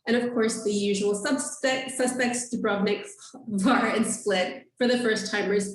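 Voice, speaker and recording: A woman walks through travel destinations in an upbeat webinar presentation, with frequent ums and uhs. Her voice comes from some distance off the mic, in a room with noticeable echo. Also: medium pitch. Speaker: tour operator presenter.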